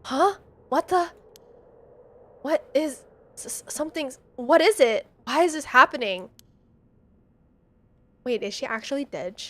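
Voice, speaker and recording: A woman's voice: sits high, expressive, unhurried, pauses often, pushed and bright. A woman game streamer playing a horror game live, reading text aloud and reacting in a dramatic, slangy way. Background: game music.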